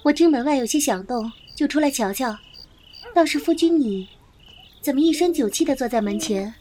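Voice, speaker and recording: A woman acting a high-voiced, gentle wife. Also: animated, normal speed, a little hiss or hum. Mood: gentle concern. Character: gentle, attentive young wife in old China. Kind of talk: costume audio drama acting.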